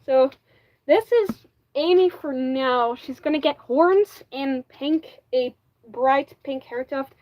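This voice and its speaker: A woman's voice: sits high, dull audio. A woman with a Flemish accent, native Dutch speaker, chatting casually on a livestream and often correcting herself mid-sentence. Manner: chatty, restarts and self-corrects, frequent "like". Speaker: livestreamer making a fursuit.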